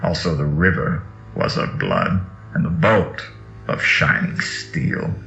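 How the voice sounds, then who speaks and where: A man with a gravelly voice gives a slow, dramatic, incantatory reading of mystical scripture. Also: a bass voice, big pitch swings, sharp tone. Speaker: reader of sacred texts. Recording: echoey, dull audio under music. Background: music.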